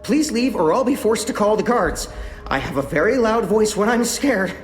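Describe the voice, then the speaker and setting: A man's voice: high voice, lively intonation, moderate pace, roomy sound, long reverb tail, soft background music. An actor playing a nervous, rambling young male engineer in a video game, who stammers and trips over his words. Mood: flustered. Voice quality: stammering, flustered, talkative. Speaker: lead engineer character.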